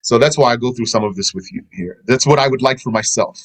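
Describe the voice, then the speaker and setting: A man's voice: medium pitch, fast. A man giving a religious lesson, reading a translated passage aloud and explaining it, with Arabic terms mixed in. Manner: explanatory, reads a passage then comments, mixes in Arabic terms. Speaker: religious teacher.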